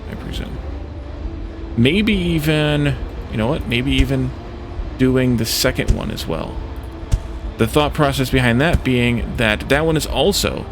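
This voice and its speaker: A man's voice: medium pitch, unhurried, background noise. A man giving casual let's play commentary, thinking aloud in a hesitant, self-questioning way.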